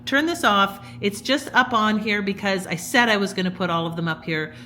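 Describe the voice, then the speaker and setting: A woman's voice: mid-range voice, moderate pace, sharp tone, a little hiss or hum. A woman speaking casually and bluntly, like a channel host giving an offhand disclaimer. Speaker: channel host introducing an old radio episode.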